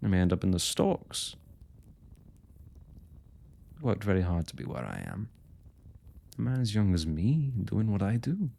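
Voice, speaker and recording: Man acting a deep, gravelly young blacksmith. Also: very animated, measured pace, pauses often, warm tone, heavily acted. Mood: wary, questioning. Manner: courtly, hesitant, wordy old-fashioned phrasing. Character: young male royal blacksmith, smitten, forthright, proud working man. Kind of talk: intimate fantasy audio roleplay, addressing the listener.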